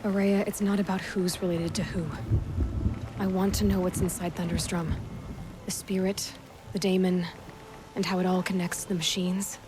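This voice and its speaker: A woman's voice: fairly low pitch, flat delivery, moderate pace, background noise, close to an everyday voice. An actress voicing a bold young woman hunter in a video game, talking in a dry, sarcastic way. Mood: wry. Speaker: game protagonist.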